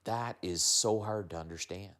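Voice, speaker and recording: A man in his fifties, a retired Air Force officer, speaking earnestly and softly in a documentary interview, often repeating words as he searches for them. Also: low voice, normal speed. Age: 50s. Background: background music.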